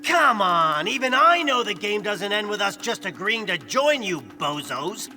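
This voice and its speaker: A man's voice: sits high, very animated, measured pace, sharp tone, faint music behind, exaggerated voice. An actor playing a cheeky young male superhero who talks in flippant, sarcastic quips in a video game. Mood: mocking. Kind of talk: video game cutscene acting.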